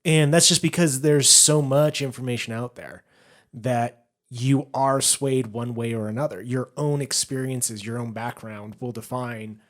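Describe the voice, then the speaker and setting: A man's voice: medium pitch, fairly even intonation, a little slow. Man co-hosting a casual podcast. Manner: explains points at length, laughs easily. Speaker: podcast co-host.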